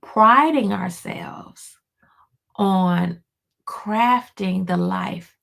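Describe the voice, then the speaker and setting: Hoarse, gravelly-voiced woman giving a pep talk. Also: medium pitch, drawn-out words, fairly bright tone. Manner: preachy and encouraging, repeats lines for emphasis, addresses listeners as y'all. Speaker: life coach hosting a weekly motivational show. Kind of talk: motivational pep talk to camera.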